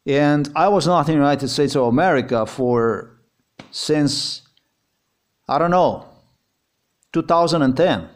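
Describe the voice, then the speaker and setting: A man's voice: fairly high pitch, unhurried, pauses often. Heated Slovenian-accented man ranting. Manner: heated, repetitive, swears. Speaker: vlogger. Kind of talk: agitated personal account.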